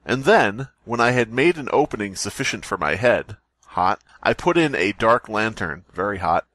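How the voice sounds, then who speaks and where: A man's voice: lowish voice, expressive, normal speed, a bit bright, dry recording. A man hosting a podcast, reading a story aloud theatrically and breaking off into jokey, sweary banter. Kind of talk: dramatic story reading mixed with joking banter.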